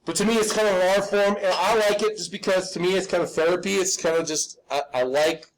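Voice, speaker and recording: A man with a high, lightly raspy voice walks students through a drawing task step by step. He is informal and self-deprecating, talking like a hands-on teacher and often pausing to check in. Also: fairly even intonation, poor audio. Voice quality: slightly raspy. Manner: instructional, checks in often with "okay?". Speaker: drafting teacher. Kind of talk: live step-by-step hand drawing demonstration.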